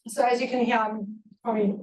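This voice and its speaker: A woman with a South African accent speaks on a panel, relaxed and eager, with plenty of ums. She sits back from the mic. The room rings around her. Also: on the high side, fast talker. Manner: relaxed, many ums, enthusiastic. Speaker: tech founder on a panel. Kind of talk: panel talk. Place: event hall. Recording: echoey room, distant mic.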